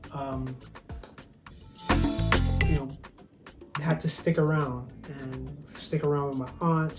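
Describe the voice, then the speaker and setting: Soft-spoken Barbadian man on a video call. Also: medium pitch, reverberant. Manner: soft-spoken, hesitant, many ums and restarts. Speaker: guest chef. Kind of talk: virtual interview, casual storytelling.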